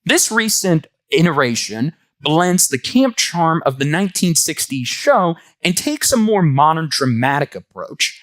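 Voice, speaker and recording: Lively male comic reviewer, dry close sound. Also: fairly high pitch, measured pace, hardly any pauses, no echo. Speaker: comic book reviewer and host. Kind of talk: semi-scripted video review commentary.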